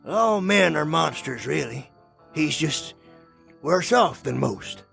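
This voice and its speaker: A man playing a frantic old prospector with a rural Southern American accent and a gravelly, cracking voice in an audio drama. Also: fairly high pitch, expressive, takes it slowly, a bit bright, faint music behind, full character voice. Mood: urgent. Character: frantic old male prospector guarding a secret. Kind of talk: scripted audio drama acting.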